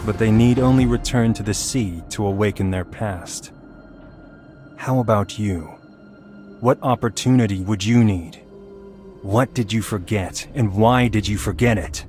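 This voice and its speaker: A man voicing a grave, ominous hunter warrior in a video game, speaking in a solemn, measured, formal way. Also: lowish voice, natural voice. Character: grave, ominous male abyssal hunter warrior, distrustful of land dwellers. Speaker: voice actor for a video game character. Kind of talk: scripted video game character lines.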